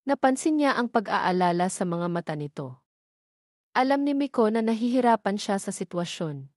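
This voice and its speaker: A woman's voice: medium pitch, animated, moderate pace, a little mellow, no room sound. Female storyteller for scripted romance audio drama, mid-range voice, dry recording.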